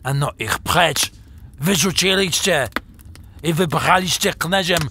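An actor playing a scheming German trader in an audio drama, with a put-on German accent and a halting, boastful, insinuating manner. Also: male voice, on the high side, noisy background. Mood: scornful, persuasive. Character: scheming German trader tempting a Slav into treason.

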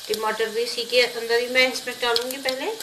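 A woman's voice: fairly high pitch, little pitch movement, normal speed. A woman hosting a home cooking video, talking through each step in a casual, chatty way with small hesitations as she cooks at her stove. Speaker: home cooking channel host. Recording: roomy kitchen with loud cooking noise. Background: frying, sizzling and stirring in a pressure cooker.

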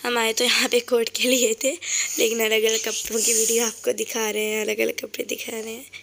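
A woman with a sore throat narrates a shopping vlog over the footage. Her voice sounds gravelly and a little rough, yet bright and sharp, set slightly high with a flat, even pitch. A faint hiss sits behind her. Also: a little fast. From Pakistan. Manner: cheerful, chatty, points things out to viewers. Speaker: vlogger. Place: voice-over recorded later, indoors.

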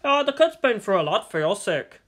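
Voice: medium pitch, very animated, brisk, a bit bright, pitched above the performer's own voice. A narrator voicing a cruel, leering male kidnapper who talks in a taunting, menacing way.

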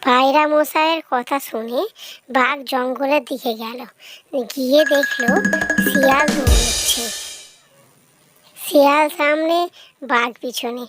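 A narrator reading a scripted children's fairy tale for an animated cartoon. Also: sits high, a little slow, long pauses, over loud music.

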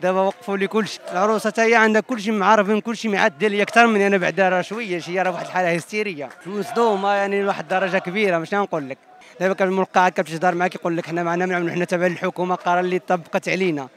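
A man's voice: high voice, fairly even intonation, very fast, a bit bright. A man in his twenties with a Moroccan accent airing a complaint in a street interview, outdoors on a handheld microphone. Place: outdoors in a city square. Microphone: handheld reporter microphone.

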